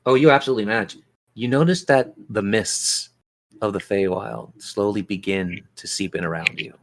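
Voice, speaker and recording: A man's voice: fairly low pitch, moderate pace, low-quality recording. A man acting as game master, narrating and refereeing a tabletop fantasy game in colloquial language and speaking directly to his players.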